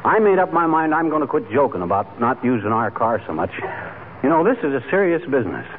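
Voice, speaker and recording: An actor playing a grumbling, excitable middle-aged husband in 1940s radio comedy, with an American accent and a folksy, complaining manner. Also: male voice, medium pitch, fast talker, close to an everyday voice. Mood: folksy, earnest. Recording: tinny, very noisy old radio broadcast.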